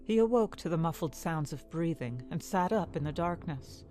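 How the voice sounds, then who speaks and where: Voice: mid-range voice, expressive, moderate pace, dark tone. A narrator reading a scripted fantasy story in the third person. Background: music.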